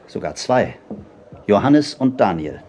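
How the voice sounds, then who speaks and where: An actor playing a principled, fearless civilian in a radio play, formal, firm and earnest. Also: male voice, fairly low pitch, sing-song, unhurried, background noise. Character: tall, fearless, principled civilian confronting officers.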